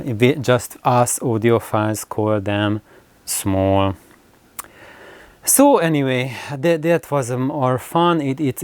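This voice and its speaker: Male hobby-channel host: unhurried, halting, casual delivery, smooth clean tone, mid pitch. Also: darkish tone, no room sound. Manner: halting, pauses mid-sentence. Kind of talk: casual unscripted explanation to camera.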